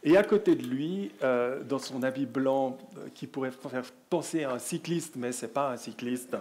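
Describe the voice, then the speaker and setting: A man's voice: medium pitch, moderate pace, a little mellow. A man with a Swiss French accent moderating a panel, introducing guests jovially with many hesitations. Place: conference hall.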